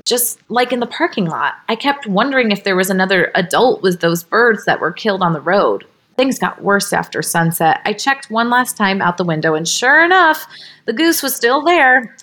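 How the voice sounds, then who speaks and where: A woman reading a story aloud as a podcast host, informal and expressive, ready to step out of the narration for asides. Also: medium pitch. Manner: expressive, breaks character, giggly slips and asides.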